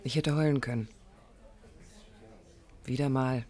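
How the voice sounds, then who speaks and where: An actress playing a yearning, introspective young woman in a 1980s radio drama, speaking in an intimate, measured, reflective way. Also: extremely low pitch, steady tone, darkish tone. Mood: melancholy, probing. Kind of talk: 1980s German radio drama, intimate first-person narration. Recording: 1980s radio play with music.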